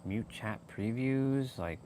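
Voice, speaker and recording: A man's voice: mid-range voice, slow, warm tone, a little hiss or hum. Rambling man chatting on a livestream. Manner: rambling, laughing, many restarts and fillers. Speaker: livestream host. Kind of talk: casual livestream chat.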